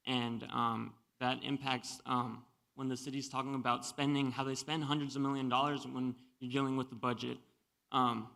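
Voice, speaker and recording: A man's voice: mid-range voice, creaky, fairly bright tone. A man who is a resident giving an earnest public comment at a community meeting. He hesitates often with "um" and strings long points together. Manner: earnest advocate, many ums, long run-on points.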